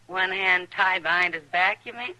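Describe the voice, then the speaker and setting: An actress playing a wry, world-weary saloon boss in an old radio western, plain-spoken and dry. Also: female voice, fairly low pitch, normal speed, sharp tone, low-quality recording. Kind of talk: 1950s radio western acting.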